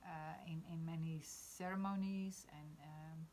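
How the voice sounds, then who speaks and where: A woman in her fifties with a Dutch accent, reflecting in a spiritual conversation, often pausing to search for words. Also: low voice, fairly even intonation, a little mellow, slight background noise. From Netherlands. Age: 50s. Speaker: discussion participant.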